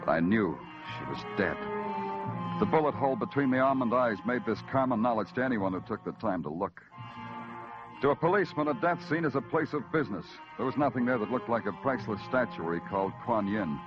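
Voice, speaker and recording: An actor playing a tough police detective who narrates in a terse, hard-boiled way with dry wit, 1940s radio acting on a lo-fi old recording with music. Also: male voice, lowish voice, animated, moderate pace, close to an everyday voice.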